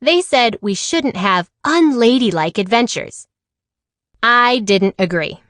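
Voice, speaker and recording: A woman reading a children's picture book aloud in an expressive way, acting out the dialogue. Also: a little high, slow, no echo, studio quality. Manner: animated, acts out dialogue. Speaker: read-aloud storyteller.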